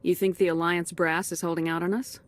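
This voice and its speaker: An actress playing a calm female starship commander in a video game. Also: fairly low pitch, fairly quick, dry recording, lightly acted. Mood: even.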